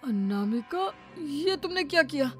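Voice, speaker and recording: A woman's voice: sits high, expressive, measured pace, soft background music. A woman voicing a young office-going husband in a cartoon moral story, with a pleading, easily exasperated manner. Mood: upset, accusing.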